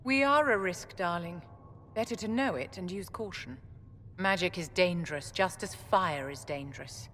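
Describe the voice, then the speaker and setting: An actress playing a haughty, elegant court mage in a video game, measured and condescending. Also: female voice, fairly low pitch, a bit bright, close to an everyday voice. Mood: pointed, superior. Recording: reverberant hall, faint background noise.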